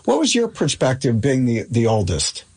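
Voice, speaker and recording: An American man with a husky, slightly rough voice at a middling pitch. He hosts in a casual interview style, effusive and quick to pay compliments. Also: wildly swooping pitch. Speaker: talk show host.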